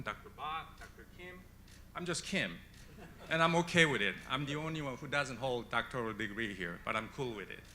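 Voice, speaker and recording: A man's voice: medium pitch, pushed and bright. A man from Korea, speaking at a conference panel in an emphatic way, with rhetorical questions. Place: conference hall on stage.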